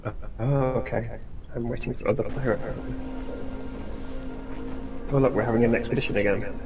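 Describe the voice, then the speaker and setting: A man's voice: mid-range voice, steady tone, a little fast, recorded from a distance. A man chatting casually while playing a co-op game, hesitant with many ums and restarts, coughing and losing his voice. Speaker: co-op gamer. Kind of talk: casual gaming chat. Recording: dull lo-fi voice chat. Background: game music.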